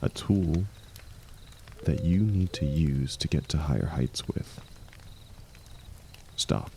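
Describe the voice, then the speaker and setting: A man playing an aging, wounded warrior, the hero's loyal and gentle shield, speaking softly and haltingly in an intimate audio roleplay. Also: extremely low pitch, vocal fry, a little hiss or hum, full character voice. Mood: resigned, earnest. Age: older. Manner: soft, halting, confiding, reassuring.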